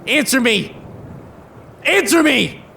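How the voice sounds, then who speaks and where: A man's voice: extremely high pitch, big pitch swings, takes it slowly, creaky, pushed and bright, slight background noise, full character voice. An actor playing a desperate, panicky young man who stammers and rambles in a horror audio drama. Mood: shaken, rambling. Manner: stammering, frantic.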